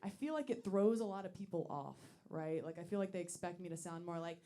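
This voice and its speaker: Woman, late 20s, low voice, dry self-mocking stand-up delivery, lots of ums. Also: fairly quick. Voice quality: deep voice for a woman. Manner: deadpan, self-deprecating, with many ums. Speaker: stand-up comedian. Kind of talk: stand-up set. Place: comedy club stage.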